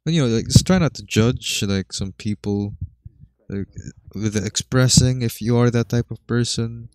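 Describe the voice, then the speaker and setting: A man's voice: medium pitch, normal speed, darkish tone, no echo. A young man co-hosting a casual podcast, joking and hesitant, full of fillers. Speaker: podcast co-host. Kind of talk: casual chat.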